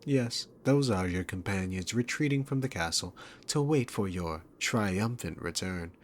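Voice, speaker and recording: A deep-voiced demon king acted by a man in an ASMR roleplay, taking his time over every taunt. He is sarcastic and dominant, talking down to the listener with smug condescension. Clear background noise sits behind the voice. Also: lively intonation. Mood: smug, condescending. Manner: mocking, condescending, unhurried, punctuated by chuckles.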